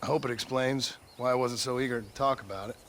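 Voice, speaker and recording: A man's voice: medium pitch, steady tone, quick pace, a bit bright, a little hiss or hum, lightly acted. A man playing a weary, guarded former outlaw with an American accent, in a dry, courteous, understated manner for a western video game. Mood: grave, confessional. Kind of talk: video game character acting.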